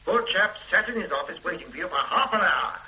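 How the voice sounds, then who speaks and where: An actor playing a sarcastic, authoritative police superintendent in a radio drama. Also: male voice, high voice, expressive, fairly quick, bright, forceful tone, a little hiss or hum, full character voice, low-quality recording. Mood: sardonic. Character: stern sardonic male police superintendent. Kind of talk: 1970s radio drama acting.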